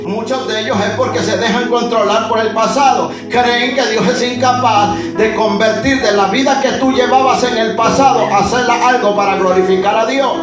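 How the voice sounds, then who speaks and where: A man preaches in a big church, his very high voice ringing through a heavily echoing sanctuary. He keeps slipping short cries of praise between his lines. Music plays softly behind him, and the mic sits well away from him. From Honduras. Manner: preaching with frequent interjections of praise. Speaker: pastor. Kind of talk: Pentecostal sermon. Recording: echoey hall recording from far off, music behind.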